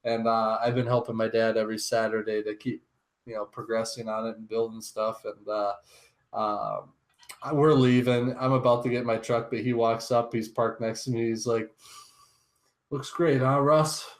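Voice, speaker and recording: A man's voice: medium pitch, steady tone, normal speed. A man co-hosting a podcast, chatting informally in a loose, conversational way. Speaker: podcast co-host. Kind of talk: casual chat, personal anecdotes.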